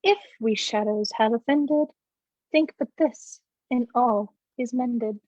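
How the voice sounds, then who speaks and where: A woman's voice: fairly high pitch, slow pace, darkish tone. A woman performing a mischievous but honest sprite in a recited Shakespeare verse monologue, bidding the audience goodnight. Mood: measured, apologetic. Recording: roomy echo, dull lo-fi audio.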